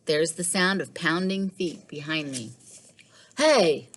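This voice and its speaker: A woman teacher reading a children's storybook aloud in a measured, animated way. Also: deep voice. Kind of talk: expressive storybook read-aloud.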